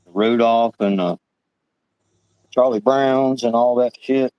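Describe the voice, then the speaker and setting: A man's voice: mid-range voice, takes it slowly, clear, resonant tone, no echo, lo-fi sound. A man in his late fifties co-hosting a livestream, chatting easygoing and swearing casually. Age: 50s. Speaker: livestream co-host.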